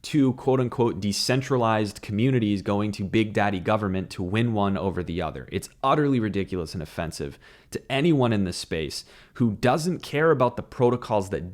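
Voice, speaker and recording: A man in his thirties with a lowish voice, delivering scripted crypto commentary in a brisk, opinionated way and scoffing at silly debates. His voice is a touch scratchy from a sleepless night. He speaks close to a broadcast desk mic in a small home studio. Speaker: crypto show host. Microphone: desk broadcast mic on arm.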